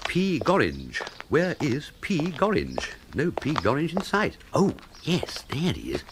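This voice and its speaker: Posh young english twit, chummy and dithering, super swoopy voice, radio comedy. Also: male voice, a little high, huge pitch swings, measured pace, background noise, full character voice. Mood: puzzled, chummy. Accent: upper-class English. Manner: breezy, chummy, slightly dithering. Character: amiable, dithering, upper-class young English gentleman idler. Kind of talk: radio comedy drama acting.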